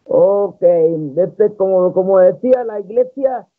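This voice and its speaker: A man gives an informal theological talk as a preacher, halting and repeating phrases, over a tinny live stream. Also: high-pitched, no echo. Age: 20s. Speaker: preacher hosting a live church broadcast.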